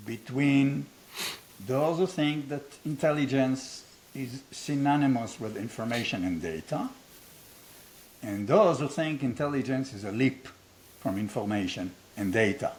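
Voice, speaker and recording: A man's voice: medium pitch, a little mellow. Older Israeli-accented man, blunt, provocative host, dry self-mocking humor, faint hiss on the recording. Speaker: host, founder of a training academy. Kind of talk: unscripted conversation.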